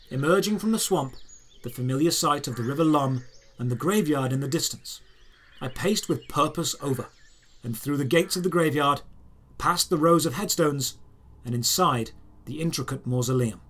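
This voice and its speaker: A man with a voice a little higher than average narrates a dramatic adventure story in the first person. He delivers it as scripted audio drama, in short, measured phrases with deliberate pauses. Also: fairly bright tone, slight background noise.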